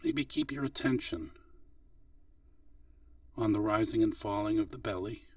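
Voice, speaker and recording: A man with a fairly low voice guides a meditation. He gives gentle, unhurried instructions and leaves long pauses between phrases. The sound is very dry, with the microphone a little way off. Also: wildly swooping pitch, vocal fry, dry recording, distant mic. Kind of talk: guided body scan meditation.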